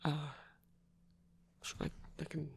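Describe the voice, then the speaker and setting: A performer voicing a flirtatious but flustered, tender male rock frontman in an intimate ASMR romance roleplay, speaking in a hesitant, halting, husky whisper. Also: background noise, natural voice. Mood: hesitant, soft.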